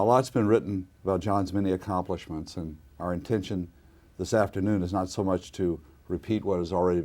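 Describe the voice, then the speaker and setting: An older man with a low voice conducting an oral history interview. He speaks in a measured, formal way as he introduces his guest and asks questions. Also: darkish tone.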